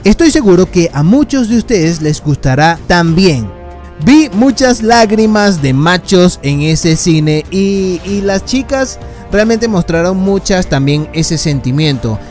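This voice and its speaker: A man with a fairly high voice talks through a casual film review as a voice-over. He sounds earnest and keeps speaking to his viewers as friends. Soft music plays faintly underneath. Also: lively intonation, a little slow. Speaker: film reviewer, channel host.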